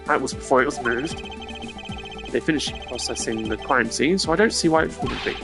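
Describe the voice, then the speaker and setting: A man voicing a cool, arrogant male prosecutor who talks in a measured, condescending, precise way, in a fan dub of a video game. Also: mid-range voice, over music, close to an everyday voice. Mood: analytical.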